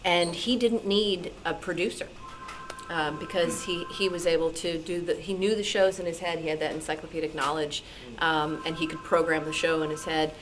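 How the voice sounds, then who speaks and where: A woman with a low voice speaks informally at a meeting. She is modest and hesitant, feeling her way through sentences. Faint music is audible. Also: fairly even intonation, moderate pace. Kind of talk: informal panel talk at a club meeting.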